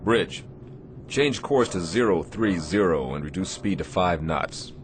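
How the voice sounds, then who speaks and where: An actor playing a terse, authoritative navy destroyer captain in a radio drama. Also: male voice, low voice, expressive, unhurried, background noise. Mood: commanding. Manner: authoritative, clipped.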